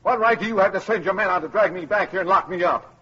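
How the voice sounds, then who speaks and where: An actor playing an indignant male detainee in a radio drama, combative and forceful as he stands on his rights. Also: sits high, fast, slightly rough, full character voice. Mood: outraged. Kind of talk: 1950s radio drama acting. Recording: muffled old radio recording.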